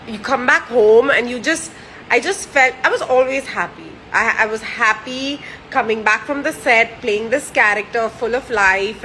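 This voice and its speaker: Bright-voiced, chatty woman in her thirties. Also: fairly high pitch, a little hiss or hum, poor audio. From India. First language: Gujarati or French, not Hindi. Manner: chatty, with fillers and restarts; warm and cheerful. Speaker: actress answering fan questions. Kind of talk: casual chat.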